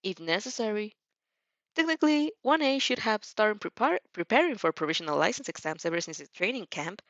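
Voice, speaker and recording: A woman's voice: mid-range voice, animated, fairly quick, fairly bright tone, no echo, muffled recording. A woman streamer talking informally and reading fan fiction aloud with expression. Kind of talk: informal livestream chat and expressive story reading.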